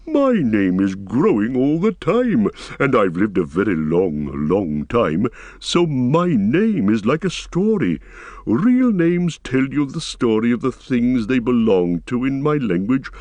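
A man voicing an ancient, patient tree giant in an audiobook, speaking slowly with drawn-out humming pauses. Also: medium pitch, sing-song, darkish tone, dry recording, pitched above the performer's own voice. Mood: musing. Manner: slow, drawn-out, humming hmms. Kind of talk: audiobook character voice.

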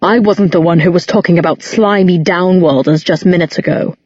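A woman voicing a weary grown man in an audiobook, regretful and subdued, gently explaining hard truths. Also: mid-range voice, husky, dry recording, muffled recording, natural voice. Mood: quiet, apologetic. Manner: subdued, measured, apologetic.

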